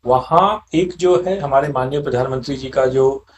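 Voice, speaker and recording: A man speaking as a railway official in an interview, explaining in a halting way and mixing English terms into his Hindi. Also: mid-range voice, dull audio. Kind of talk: interview explanation of a development project. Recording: clearly noisy location recording with ambience.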